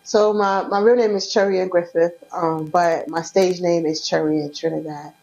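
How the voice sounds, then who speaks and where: A woman's voice: lowish voice, fairly even intonation, normal speed, darkish tone. A young woman from Brooklyn, a comedian talking frankly and streetwise in an interview, often laughing. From Brooklyn, New York. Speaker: comedian guest. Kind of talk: casual interview chat. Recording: dull lo-fi audio.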